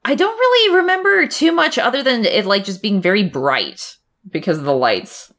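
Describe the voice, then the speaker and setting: A woman co-hosting a podcast in casual, playful chat, quick to giggle. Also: medium pitch, sing-song, fairly bright tone. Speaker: podcast co-host.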